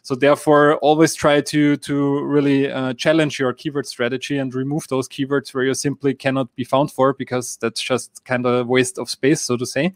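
A man's voice: mid-range voice, flat delivery, normal speed, few pauses, no echo. Austrian man, German accent, dry sound. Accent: German-speaking Austrian. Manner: hesitant, many fillers and "so to say". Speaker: app marketing guest expert. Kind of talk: live stream Q&A, explanatory.

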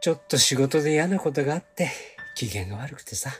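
A man acting a gentle young husband, music behind. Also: fairly high pitch, animated, a little fast, natural voice. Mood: confused, apologetic. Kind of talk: soft emotional audio drama acting.

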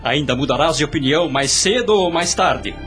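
An actor playing a proud, insistent young suitor in a radio soap opera, smooth-talking and florid, with a Brazilian accent. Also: male voice, fairly high pitch, moderate pace, fairly bright tone, faint music behind, natural voice. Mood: defiant. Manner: florid, theatrical, formal diction.

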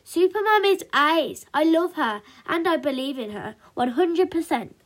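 A woman voicing an eager, adoring ten-year-old boy in a storybook, excited and earnest. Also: high-pitched, normal speed.

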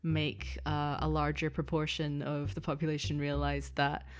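A woman's voice: low voice, completely flat delivery, normal speed. A woman speaking as a podcast guest in casual interview chat, hesitant as she thinks aloud. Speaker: podcast guest, audio fiction producer and performer.

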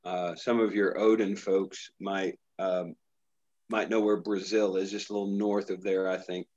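A man's voice: sits low, flat delivery, measured pace, darkish tone, muffled recording. A middle-aged pastor from Indiana, chatting casually in an interview and telling his story with many restarts. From Indiana, USA. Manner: rambling storyteller, frequent restarts.

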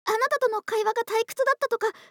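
An actress playing a gentle, polite, caring neighbor woman in an intimate ASMR voice drama, speaking closely to one listener. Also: extremely high pitch, fairly even intonation, rapid-fire, no room sound, clean studio sound. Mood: apologetic. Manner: polite, soft-spoken formal speech addressed to the listener. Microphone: close ASMR microphone, dry studio.

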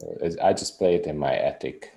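A deep, gravelly man with a Hungarian accent talks as a guest in a relaxed interview. His voice sits low and dark, with a gruff edge. He explains things earnestly and at length, often restarting his sentences. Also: fairly quick. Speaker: musician guest. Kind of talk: casual interview chat.

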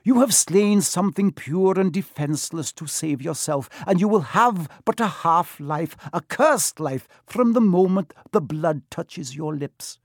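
A man's voice: a little high, animated, unhurried, few pauses, dry recording, studio quality, pitched above the performer's own voice. A man voicing a young male centaur from a storybook, speaking formally and earnestly, noble and defiant. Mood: solemn. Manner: formal, earnest, emphatic speech. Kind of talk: storybook character voice.

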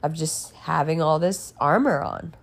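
A woman's voice: sits low, measured pace, vocal fry. A woman giving a candid personal monologue in a blunt, emphatic way, with frequent swearing. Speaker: podcast and channel host.

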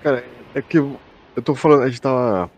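A Brazilian man with a husky, rough-edged voice at a medium pitch co-hosts a casual podcast. He theorizes in loose slang, talking to his partner as to an old buddy. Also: fairly quick. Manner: slangy, addresses listener as buddy. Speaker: podcast co-host.

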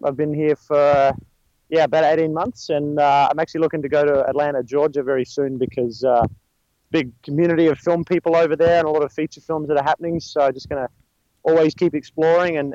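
A man's voice: a little high, fairly even intonation, a little fast, darkish tone, no room sound, muffled recording. A man with an Australian accent, chatting as an interview guest, rambling with many fillers and restarts. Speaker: guest, stuntman. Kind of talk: casual interview talk.

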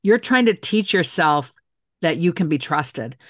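A woman's voice: on the low side, lively intonation, a little slow, no echo, studio quality. A middle-aged woman speaking as an interview guest, emphatic and heartfelt, repeating phrases for stress. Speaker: guest, author and city council member. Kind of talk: earnest interview talk.

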